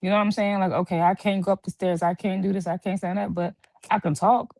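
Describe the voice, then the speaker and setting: A woman's voice: medium pitch, fairly even intonation, darkish tone, dry recording. Earnest woman in her thirties, dry sound. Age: 30s. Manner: earnest, reflective, drawn-out storytelling. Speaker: guest. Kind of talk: casual chat. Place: hospital. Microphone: video call.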